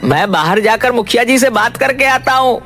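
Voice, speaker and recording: An actor voicing a poor, simple village man in an animated folk tale, folksy and pleading. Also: mid-range voice, normal speed, soft background music. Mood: flustered. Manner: pleading, folksy, repeats a pet oath. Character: poor, timid, simple male village woodcutter.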